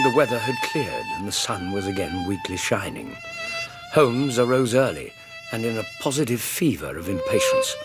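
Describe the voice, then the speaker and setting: An actor playing an earnest, loyal Victorian gentleman companion who narrates a radio drama, with a British accent and an expressive, literary delivery. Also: medium pitch, natural voice. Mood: reflective. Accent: British English.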